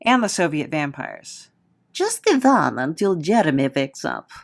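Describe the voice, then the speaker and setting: Low, lively woman, a wry leader. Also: unhurried, vocal fry, heavily acted. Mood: resolute, wry. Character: wry, determined woman leading a gang. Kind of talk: comedic audio drama acting.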